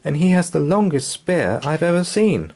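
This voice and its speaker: A man voicing an eager, excitable young village boy in a storybook, exclaiming breathlessly. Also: a little high, moderate pace, pitched above the performer's own voice. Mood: urgent.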